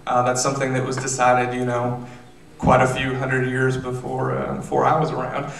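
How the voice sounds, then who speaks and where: A man in his thirties, a state legislator, answering questions on a panel with measured, filler-filled speech into a handheld mic. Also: medium pitch, monotone, creaky, a bit bright. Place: theater stage. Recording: roomy, distant, faint noise.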